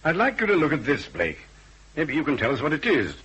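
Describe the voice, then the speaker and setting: An actor playing a gruff, impatient police officer in a radio drama, blunt and puzzled in manner. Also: male voice, a little high, wildly swooping pitch, a little fast, fairly bright tone, lo-fi sound, exaggerated voice. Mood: impatient, baffled. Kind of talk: radio detective drama acting.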